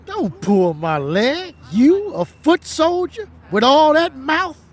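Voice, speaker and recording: Indignant official, high-pitched, very animated, slow declamation, scornful, theatrical audio drama. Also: exaggerated voice. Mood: scornful, mocking. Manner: declamatory, oratorical, mocking. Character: indignant, scornful official rebuking idle commoners.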